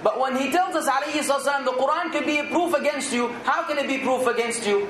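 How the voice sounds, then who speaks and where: A man's voice: very high-pitched, quick pace, a bit bright, roomy sound, a little hiss or hum. A man giving an earnest Islamic sermon, exhorting his listeners and now and then rising to emphatic outbursts. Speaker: Islamic preacher.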